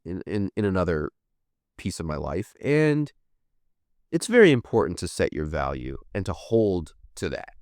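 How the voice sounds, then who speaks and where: A man's voice: fairly low pitch, no echo, studio quality. A man in his thirties from the United States hosting a podcast, chatting casually and rambling, swearing offhand as he reads out viewer comments. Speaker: podcast host. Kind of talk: casual livestream chat reading viewer comments.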